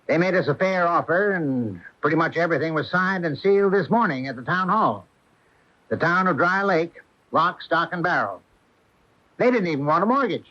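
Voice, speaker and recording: An actor playing a pragmatic, easygoing small-town mayor in a radio mystery drama, with a colloquial, placating way of talking. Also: male voice, fairly high pitch, lively intonation, moderate pace, bright, forceful tone. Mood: self-satisfied. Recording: muffled, faintly noisy 1980 AM radio broadcast.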